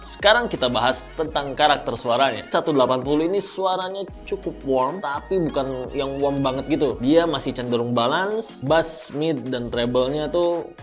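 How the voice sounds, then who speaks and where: A man's voice: mid-range voice, normal speed. A man with an Indonesian accent presenting a casual gear review, talking informally to viewers as friends. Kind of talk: casual audio gear review narration to camera. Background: background music.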